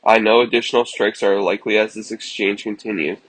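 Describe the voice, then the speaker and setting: Flat, husky man playing a solemn American President. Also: fairly low pitch, brisk, dry recording, rough recording. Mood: solemn, resolute. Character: US President, solemn man addressing the nation during war. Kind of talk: formal emergency address to the nation.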